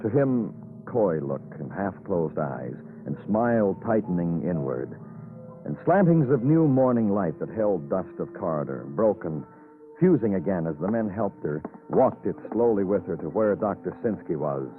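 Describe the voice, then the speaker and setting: An actor playing a world-weary police lieutenant, narrating an old radio noir drama with solemn, deliberate phrasing. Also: male voice, low-pitched, animated. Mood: somber. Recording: tinny old radio recording, music behind.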